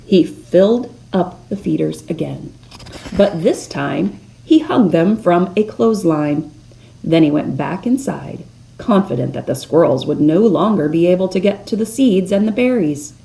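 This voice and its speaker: A woman storyteller reading a children's picture book aloud expressively, with deliberate dramatic emphasis on key words. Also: lowish voice, animated, vocal fry, mellow, poor audio.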